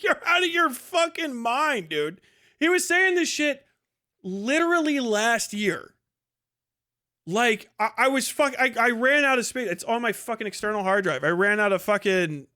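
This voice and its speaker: A man's voice: sits high, lively intonation, unhurried, pushed and bright. A man giving casual, profane live commentary in an exasperated, scornful manner with heavy swearing. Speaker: livestream host reacting to a debate.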